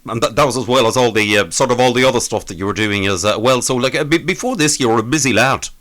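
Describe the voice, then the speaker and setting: Fast-talking radio host, bright voice. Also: male voice, medium pitch. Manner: hesitant, many "uh"s, repeats "indeed so". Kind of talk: radio interview.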